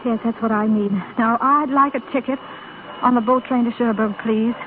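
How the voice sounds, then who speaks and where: Actress as gentle high-voiced woman, vintage radio. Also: fairly even intonation, normal speed, a little hiss or hum, lightly acted. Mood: composed, sad. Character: gentle, devoted blind young woman, bravely composed. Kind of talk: 1930s radio drama acting. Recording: tinny 1930s radio broadcast, music behind.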